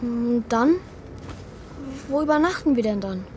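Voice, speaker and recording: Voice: medium pitch, lively intonation, measured pace, noisy background, lightly acted. A performer playing an anxious young boy who acts in and narrates a children's radio play, earnest and questioning. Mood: worried, doubtful.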